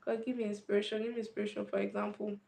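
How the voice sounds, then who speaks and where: A woman's voice: mid-range voice, little pitch movement, racing, creaky, warm tone. Woman thinking aloud to camera. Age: 20s. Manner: thinks aloud, repeats and restarts phrases. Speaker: vlogger. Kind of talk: casual talk to camera.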